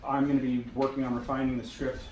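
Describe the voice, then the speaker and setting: A man's voice: mid-range voice, level tone, fast talker, darkish tone. A man speaking informally to a theatre cast as their playwright and director. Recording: echoey room, distant mic, faint noise.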